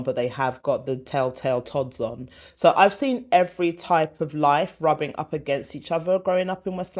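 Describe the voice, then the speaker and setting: A woman, born in Ghana, a former TV comedy commissioner answering as a guest, emphatic and animated. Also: rumbling low. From born in Ghana, raised in West London. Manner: emphatic and animated, acts out quotes. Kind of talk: conversational interview answer.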